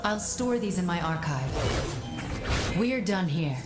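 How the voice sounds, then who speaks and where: An echoing, wise game guide. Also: female voice, lowish voice, lively intonation. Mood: composed, purposeful. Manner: composed, deliberate, instructive. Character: calm, wise female guide, a sentient sanctum advising a warrior. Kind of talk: video game cutscene acting. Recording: echoing voice over game music.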